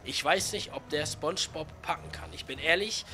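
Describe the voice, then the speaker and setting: A man in his twenties with a cold, giving chatty, slangy, excited commentary on a video game over faint music. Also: fairly high pitch, moderate pace, noisy background. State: has a cold. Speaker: gaming YouTuber.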